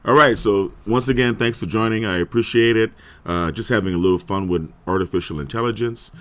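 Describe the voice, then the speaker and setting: A man with a very low, hoarse voice chats loosely on a live stream, rambling a little and clearing his throat often. His talk is relaxed and unhurried. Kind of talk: casual live stream chat.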